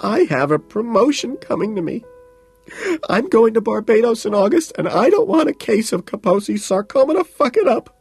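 A male narrator acts out an irritable young woman from an audiobook novel. The voice sits far higher than his natural one and leaps around in pitch, gasping and shrill with indignation. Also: big pitch swings, a little slow, few pauses, slightly rough, no room sound, faint music behind. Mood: hysterical wailing. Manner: shrieking, indignant delivery.

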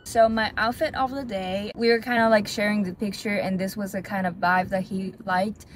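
A woman's voice: medium pitch, steady tone, normal speed, background noise. Chatty young Japanese woman vlogging. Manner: chatty, lots of "like" fillers, self-corrections. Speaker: vlogger and pro dancer. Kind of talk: casual vlog chat to camera. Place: bathroom, then parked car.

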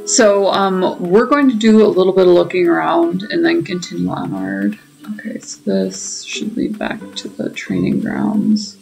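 A woman's voice: lowish voice, lively intonation, unhurried, vocal fry, darkish tone, rough recording. A woman giving casual playthrough commentary as a game streamer, chatting and thinking aloud. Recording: game music behind the voice.

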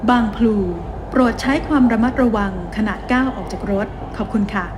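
A woman reading scripted train station announcements in a formal, measured and clearly enunciated manner. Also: a little high. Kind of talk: scripted public transit announcement. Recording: train announcement with loud cabin noise. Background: train running noise.

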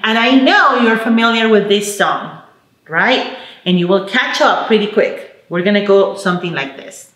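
A woman's voice: medium pitch, lively intonation, moderate pace, a bit bright. A middle-aged woman presenting a children's storytime, speaking expressively and encouragingly to small children. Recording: roomy indoor echo.

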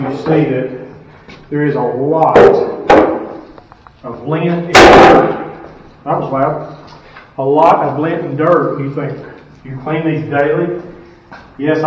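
A man's voice: on the high side, takes it slowly, pauses often, noisy background. A middle-aged man, a school custodian, giving plain-spoken step-by-step cleaning instructions and asking rhetorical questions. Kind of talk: casual how-to instruction. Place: school stairwell, indoors, hard walls. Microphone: camera some distance off. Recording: echoey stairwell, distant and dull.

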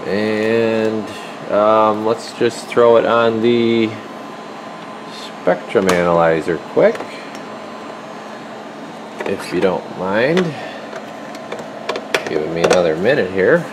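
A man's voice: lowish voice, takes it slowly, long pauses. A man giving a casual hands-on demonstration at a workbench, informally muttering to himself as he works. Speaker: radio repair technician, video host. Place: indoor radio workshop at an electronics bench. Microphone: handheld camera, behind the lens. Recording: noisy room with steady equipment hum.

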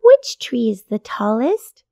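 A woman's voice: a little high, sing-song, slow pace, vocal fry, mellow, no room sound, heavily acted. A woman voicing a kind, curious little girl in a children's cartoon, asking the young audience simple questions. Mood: gentle, helpful. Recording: children's music under the voice.

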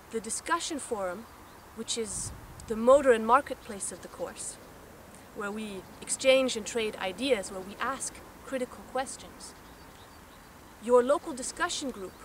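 A young woman with a slightly high voice explains the course in a measured, welcoming way. She stands outdoors and the camera mic is some distance off, so she sounds far away. Steady wind noise runs under her voice. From Texas, raised in France. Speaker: course instructor. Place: outdoors in open grassy dunes.

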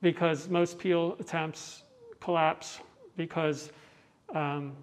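A middle-aged American man patiently explaining things in a measured way during an interview, as a bell ringer giving a tour. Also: a little high, steady tone. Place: large indoor tower room. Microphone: clip-on mic on the chest.

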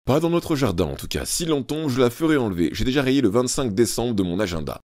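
A man reading aloud who voices a tyrannical, pompous middle-aged father who blusters and shouts. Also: medium pitch, very animated, fast talker, no room sound, studio quality, pitched above the performer's own voice, close to an everyday voice.

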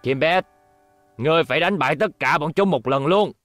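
A man's voice: a little high, brisk, fairly bright tone, dry recording, clean studio sound, faint music behind, natural voice. A man dubbing a belligerent, defiant middle-aged robot maker in a cartoon, grumbling and combative. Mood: commanding.

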